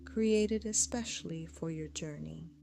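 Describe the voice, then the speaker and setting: A woman narrating a guided meditation in a soothing, measured, hypnotic way, with phrases trailing off into a hush. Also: low-pitched, vocal fry. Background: music.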